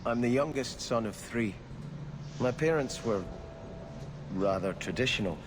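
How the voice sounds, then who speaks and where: An actor playing a devout exiled prince in a video game, earnest, formal and grieving. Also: male voice, mid-range voice, lively intonation, unhurried, noisy background, close to an everyday voice. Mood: troubled, confessing. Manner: solemn, formal.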